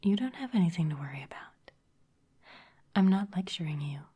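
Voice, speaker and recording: A woman voicing a sly, intimidating female vampire executive in a close, breathy ASMR whisper, polished and teasing. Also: lowish voice, expressive, brisk, dark tone. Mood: smooth, managerial. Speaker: ASMR performer.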